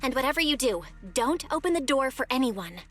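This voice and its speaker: A woman's voice: sits high, very animated, brisk, soft background music. A woman playing a caring, sensible young woman who leads her group in a video game. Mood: focused.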